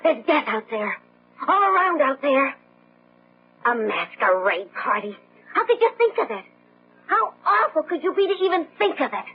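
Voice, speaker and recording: An actress playing a distraught, defiant woman in a radio drama, sharp and theatrical. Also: high-pitched, big pitch swings, moderate pace, pauses often. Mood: indignant, appalled. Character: distraught, defiant woman standing up to domineering man. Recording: slightly dull old radio recording.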